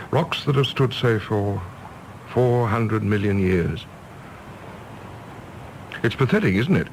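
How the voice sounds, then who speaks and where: Middle-aged English diplomat, earnest and rueful, low creaky voice, formal radio drama delivery. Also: male voice, low-quality recording. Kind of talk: radio play acting. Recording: faint background noise and ambience.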